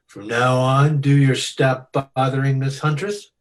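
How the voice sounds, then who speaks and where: A man playing a self-assured, menacing casino boss in a radio detective play, terse and commanding. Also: medium pitch. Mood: amused. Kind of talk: old-time radio detective drama acting.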